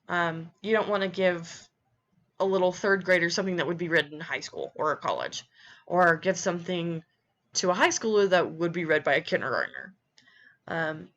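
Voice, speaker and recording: A woman's voice: lowish voice, a bit bright, muffled recording. Young woman giving a casual class talk. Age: young adult. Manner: casual, many ums and restarts. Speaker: music education student. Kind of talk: informal class presentation.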